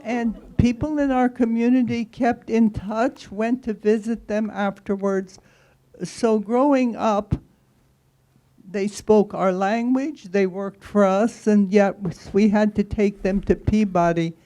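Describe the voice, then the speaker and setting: A woman's voice: mid-range voice, steady tone, slow pace, warm tone, low-quality recording. A woman in her eighties or older from rural Kansas, a native German speaker, reminiscing at a book talk. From rural Kansas, United States. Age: over 80. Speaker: audience member sharing memories.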